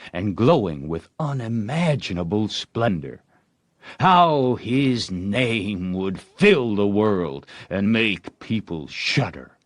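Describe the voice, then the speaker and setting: A man narrating a classic story as an audiobook, with expressive, theatrical storytelling. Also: on the low side, no echo, studio quality. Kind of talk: dramatic literary audiobook narration.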